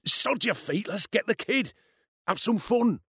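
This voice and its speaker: Audiobook bully boy performed by a man: foul-mouthed, cocky, taunting, high and very animated, dry studio sound. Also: normal speed, no room sound. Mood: goading, contemptuous. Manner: taunting, sneering delivery. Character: cruel, swaggering schoolboy bully, jeering and foul-mouthed.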